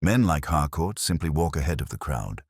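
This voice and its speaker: A very low-pitched, weary detective, as a male narrator plays him, speaks with measured eloquence. The delivery is philosophical and gently wry, with lively swings of pitch in a dry, close storybook recording. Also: slightly rough, no echo, full character voice. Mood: weary, reflective. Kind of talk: audiobook character dialogue.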